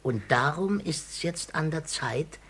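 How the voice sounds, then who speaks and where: An actor playing a wily old master of child pickpockets in a theatrical, wheedling 1950s radio drama manner. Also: male voice, a little high, animated, slow pace, a bit bright, slightly rough, exaggerated voice. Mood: gleeful. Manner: theatrical, wheedling, cackling, repeats words. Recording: noisy 1950s radio recording.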